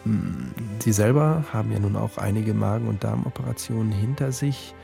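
A man interviewing, haltingly and insistently repeating his probing questions. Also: fairly low pitch, gravelly, warm tone, faint music behind.

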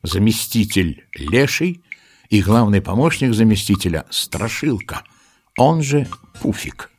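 A man playing the storyteller of a children's audio play, giving expressive, dramatic scripted narration. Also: fairly low pitch, measured pace, a little hiss or hum. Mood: storytelling.

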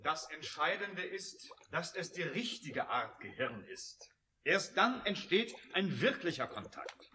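A man's voice: a little high, very animated, slow, pushed and bright, a little hiss or hum, heavily acted. An actor in a German radio drama playing an agitated, bitter male scientist who argues in probing questions. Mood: intense. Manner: impassioned, probing rhetorical questions.

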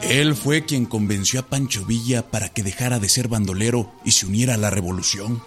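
An actor playing a matter-of-fact narrator of historical events in a scripted radio drama. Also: male voice, on the low side, brisk, soft background music, natural voice. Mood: informative.

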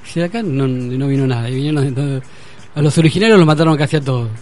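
A man in a casual conversation, reflective, often pausing on "este". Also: mid-range voice, darkish tone. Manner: reflective, uses filler "este".

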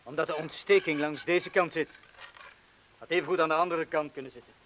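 A male actor playing a cautious, steady criminal accomplice in a radio drama, speaking in a measured, laconic, firm way. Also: a little high, close to an everyday voice, low-quality recording. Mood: calm, cautious. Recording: hissy old radio play recording.

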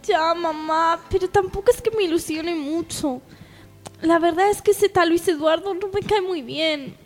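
A woman's voice: very high-pitched, measured pace, in a large hall, soft background music. A woman playing a young woman in an amateur language radio soap opera. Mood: reluctant, unimpressed.

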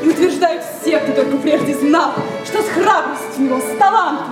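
An actress playing a gushing young society wife in a verse radio play, affected and effusive. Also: female voice, sits high, a little fast, lightly acted. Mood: doting pride. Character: gushing young society lady, doting on her husband. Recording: echoey hall, distant, music and crowd behind.

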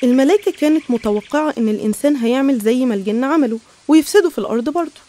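A woman's voice: high voice, very fast, mellow. A woman with an Egyptian accent narrating scripted religious stories in an explanatory, didactic manner.

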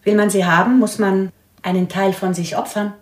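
An actress plays a cultivated, guarded woman receiving a visitor in a radio play. Her medium-pitched voice is composed and lightly ironic, sounding in an echoey room. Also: fairly even intonation, normal speed, close to an everyday voice. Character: poised, guarded, cultivated hostess with a secret. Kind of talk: radio drama dialogue.

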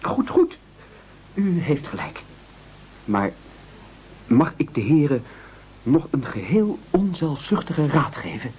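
A man's voice: a little high, big pitch swings, slow, long pauses, darkish tone, breathy edge, full character voice. An actor in a radio play playing a frightened, evasive castaway who stammers, fusses and ingratiates himself as he pleads. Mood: nervous bargaining. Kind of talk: absurdist radio play acting. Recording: muffled old radio play recording.

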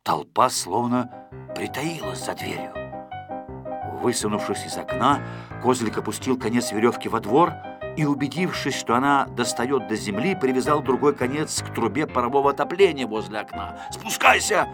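A man narrating a children's story from a script in an expressive, theatrical storytelling manner with dramatic emphasis. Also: mid-range voice, moderate pace, over music. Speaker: audiobook narrator.

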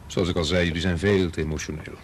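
A man playing a brisk, condescending laboratory boss in a radio drama, authoritative and matter-of-fact. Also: deep voice, fairly even intonation, slight background noise, close to an everyday voice.

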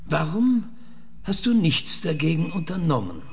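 An actor playing a sixty-eight-year-old scientist in a radio drama, questioning in a measured, methodical and patient way. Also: male voice, fairly high pitch, big pitch swings, vocal fry, full character voice. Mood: patient, probing. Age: 60s. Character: probing, patient male senior scientist, aged sixty-eight.

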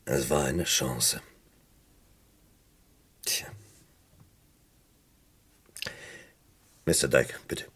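Very husky, probing police inspector. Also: male voice, extremely low pitch, slow, a bit bright, exaggerated voice. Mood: subdued. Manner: questioning, echoes answers, swings from muttering to exclaiming. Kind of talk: 1970s German radio crime play acting.

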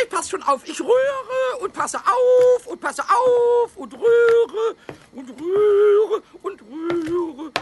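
Shrill, excitable little kobold. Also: male voice, a little hiss or hum, exaggerated voice. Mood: eager. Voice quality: shrill, creaky. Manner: stammering, repeating words, exclaiming. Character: cheeky little male kobold, childlike, excitable and stubborn. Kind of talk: 1970s children's radio play acting.

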